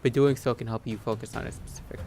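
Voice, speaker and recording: A man hosting a gaming video with casual, low-key commentary, at times reading game text aloud. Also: steady tone, quick pace, darkish tone. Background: game music.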